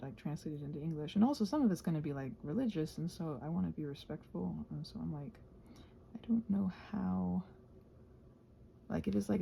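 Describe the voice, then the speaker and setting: A woman with a very dark, mellow voice and a creaky edge rambles softly, often sinking to a near-whisper. She thinks aloud in a hesitant, playful craft chat, trailing off as she goes. Faint noise and ambience sit behind her. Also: on the low side. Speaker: host of a crafting ramble channel.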